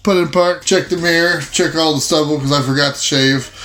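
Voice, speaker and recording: A man's voice: a little high, steady tone, a little fast, a bit bright, natural voice. A man playing a scruffy, courteous investigator, narrating his actions in a tabletop game. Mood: casual, observant. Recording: echoey room.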